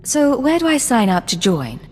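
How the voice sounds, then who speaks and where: A woman's voice: a little high, big pitch swings, moderate pace, soft background music. A woman playing a cool, sardonic female imperial agent in a video game, with dry delivery.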